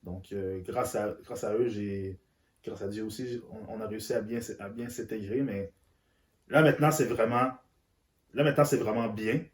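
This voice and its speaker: A man's voice: fairly low pitch, normal speed. Hesitant man in his thirties. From Central Africa. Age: 30s. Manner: hesitant, repeats words, earnest. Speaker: interview guest. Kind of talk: candid conversation. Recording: roomy echo.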